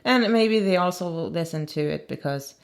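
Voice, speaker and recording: Swedish-accented woman, low and gravelly, friendly co-commentator who keeps agreeing. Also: expressive. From Sweden. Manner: chatty, quick to agree, laughs often. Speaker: actress and co-commentator. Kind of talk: casual commentary chat.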